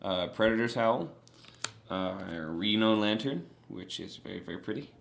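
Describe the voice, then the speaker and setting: Chatty young man with a low voice. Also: animated, normal speed, creaky, fairly bright tone, slight background noise. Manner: chatty and enthusiastic, many fillers. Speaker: vlogger showing trading cards. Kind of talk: casual vlog chat.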